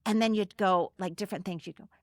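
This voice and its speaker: A middle-aged woman co-hosting a podcast, reminiscing casually in an exuberant, animated storytelling way. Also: mid-range voice, quick pace, dry recording, studio quality. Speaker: podcast co-host.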